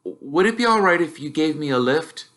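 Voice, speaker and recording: A man voicing a timid, polite young boy in a storybook, in a tentative, soft, hesitant way. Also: fairly high pitch, expressive, normal speed, pitched above the performer's own voice. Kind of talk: storybook character voice.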